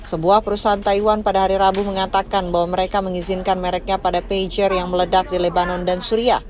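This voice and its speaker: A woman reading a scripted news voice-over in a steady, formal way. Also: medium pitch, fast talker, slight background noise. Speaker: news narrator.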